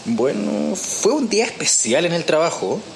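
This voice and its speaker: A man's voice: on the high side, very animated, normal speed, slight background noise, poor audio. A man playing a tired, guarded working man unwinding at home, in casual audio drama dialogue. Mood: relaxed, evasive.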